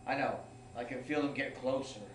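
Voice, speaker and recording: A man's voice: mid-range voice, fairly even intonation, brisk, close to an everyday voice. A man playing a defiant young rookie mercenary at an informal script table read. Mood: resolute. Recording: echoey room, distant mic, faint noise.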